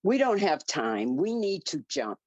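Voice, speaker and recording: A low female voice plays an impatient woman fighter of about forty, acted by a woman at a script reading. She speaks at a slow pace with lively swings in pitch. The sound is dry and slightly dull. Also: no echo, full character voice. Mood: impatient, curt. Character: Miriam, fit Nigerian woman in her forties, impatient fugitive fighter. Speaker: actor in a live script reading. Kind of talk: dramatic script table read.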